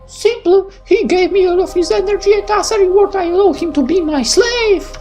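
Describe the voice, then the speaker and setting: A woman's voice: very high-pitched, normal speed, dark tone, soft background music, pitched above the performer's own voice. A woman voicing a gloating, seductively malicious supernatural mistress in a visual novel, haughty, theatrical and gleeful. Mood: haughty, proud.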